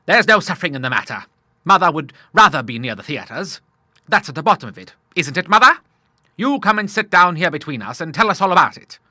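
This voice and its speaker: A man's voice: a little high, big pitch swings, brisk, pushed and bright, no room sound, clean studio sound, pitched above the performer's own voice, full character voice. A man voicing a hearty, boisterous old Admiral in an audiobook, bluff and full of exclamations. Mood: jovial, booming. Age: older. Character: hearty, blustering old Admiral, a proud father. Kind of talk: audiobook character voice.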